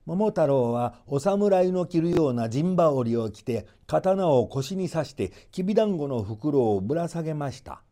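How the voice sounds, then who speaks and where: A male storyteller reads a folk tale in theatrical style. His voice sits a bit high and moves with very lively rises and falls. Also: moderate pace, few pauses.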